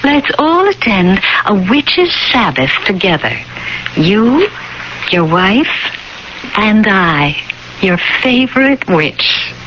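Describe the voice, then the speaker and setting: Radio play role: teasing temptress witch, drawn-out lines, swooping pitch, muffled hissy broadcast sound. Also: big pitch swings. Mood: teasing, seductive. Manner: teasing, seductive. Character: flirtatious bewitching woman, early thirties, teasing self-styled witch. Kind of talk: radio drama acting. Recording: dull, faintly noisy old radio recording.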